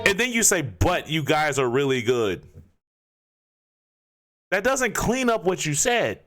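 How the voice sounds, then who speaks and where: Incredulous man ranting, lively pitch. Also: unhurried, soft background music. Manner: incredulous, ranting, rhetorical questions. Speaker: reaction video host. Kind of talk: casual reaction commentary.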